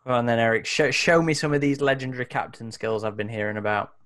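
A man's voice: mid-range voice, steady tone, fast, fairly bright tone. A man chatting and bantering casually as a player in a tabletop roleplaying game. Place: indoors, on a group video call.

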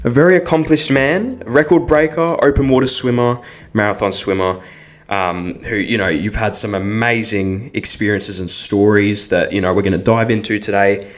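A young man hosting a podcast interview, friendly, with many fillers and quick agreement. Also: lowish voice, steady tone. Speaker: podcast host. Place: small room with podcast setup. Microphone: desk mic on stand.

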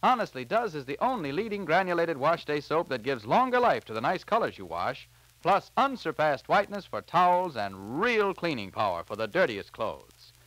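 A man's voice: fairly high pitch, big pitch swings, moderate pace, a bit bright, no echo, poor audio. An American man announcing on 1940s radio, with a polished, emphatic sales delivery, recorded on a transcription disc.

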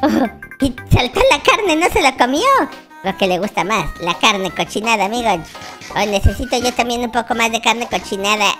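Casting: performer as squeaky childlike baby gamer boy, naive and excitable, highly animated children's game commentary with music behind. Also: moderate pace, close to an everyday voice. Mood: excited, chatty. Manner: exclamatory, dramatic, repetitive. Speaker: YouTube gamer playing a character.

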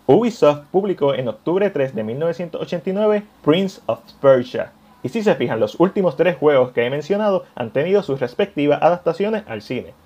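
A man hosts a scripted video essay in voice-over. His pitch sits a little high and moves around in an animated way as he addresses the viewers. The recording is slightly muffled. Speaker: host of a video channel on cinema and video games.

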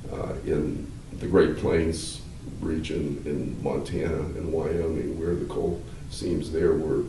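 An older man, a law professor, explaining at length in a measured, halting way with many fillers, seated in a wood-paneled room. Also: very deep voice, fairly even intonation, warm tone. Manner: gestures with hands while talking. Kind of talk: explanatory interview answers. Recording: roomy, slightly distant, faint hiss.

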